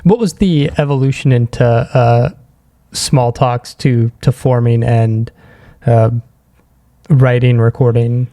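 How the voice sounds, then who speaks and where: A man with a mellow, relaxed tone and mid-range pitch. He works as a podcast host, chatting casually and easygoing as he moves from brief acknowledgements to questions. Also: takes it slowly. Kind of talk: casual interview chat.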